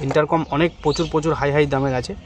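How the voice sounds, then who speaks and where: Fast-talking man, casual hands-on vlogger. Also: fairly high pitch, steady tone. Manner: informal, improvised, talking while working with hands. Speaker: motorcycle vlogger presenting an unboxing and installation. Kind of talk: casual hands-on tutorial chat to viewers. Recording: clear background ambient noise. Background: background noise.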